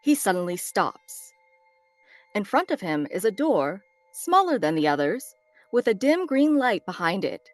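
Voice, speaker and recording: A woman's voice: mid-range voice, big pitch swings, measured pace, no echo. A woman narrator reading screenplay stage directions as dramatic scripted narration. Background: faint background music.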